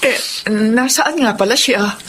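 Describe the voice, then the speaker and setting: A woman's voice: a little high, a little slow, fairly bright tone, slight background noise. A woman playing a contrite mother and employer in a Filipino radio drama. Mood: pleading, remorseful. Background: music under the voice.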